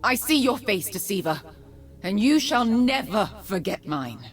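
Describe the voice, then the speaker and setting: A proud, noble mage woman from a video game, coolly disdainful and regal. Her measured theatrical lines move expressively up and down in pitch, with music faintly behind. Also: big pitch swings. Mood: cool menace.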